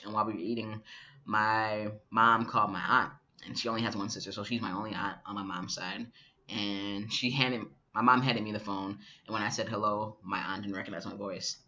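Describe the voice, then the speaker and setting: Young man, twenties, bright lowish voice, reflective vlog storytelling, muffled camera audio. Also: moderate pace. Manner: relaxed, reflective, many fillers. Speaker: vlogger. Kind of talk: casual personal vlog storytelling.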